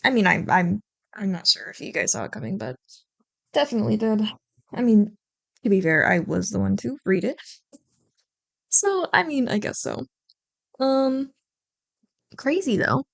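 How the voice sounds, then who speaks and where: A woman's voice: mid-range voice, sing-song, normal speed, vocal fry, pauses often, no room sound. A young woman, an ASMR roleplay creator, chatting casually and affectionately to her listeners in a rambling, apologetic way.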